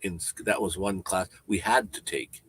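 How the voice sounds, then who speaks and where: A man around seventy with a Canadian accent, chatting casually on a livestream, rambling and halting with frequent restarts. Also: low voice, steady tone, fairly bright tone, a little hiss or hum. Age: 70s. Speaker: van-life vlogger.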